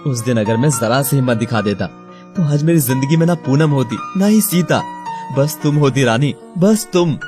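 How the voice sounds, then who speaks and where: A man's voice: medium pitch, lively intonation, moderate pace, music in the background, natural voice. A man acting a remorseful young married man in an audio story, speaking in an anguished, imploring, dramatic way. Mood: regretful. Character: remorseful married young man pleading with his childhood love.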